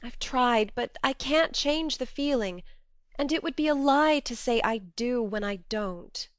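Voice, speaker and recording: A woman voicing an earnest, strong-willed young woman who reasons gently but firmly. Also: on the high side, expressive, measured pace, no room sound, clean studio sound, pitched above the performer's own voice. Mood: remorseful.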